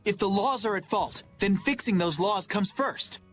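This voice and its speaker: A fairly high-pitched young knight captain, earnest and righteous. His delivery is formal and solemn, in anime video game style. Soft music sits behind the voice. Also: normal speed. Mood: stern, reproachful.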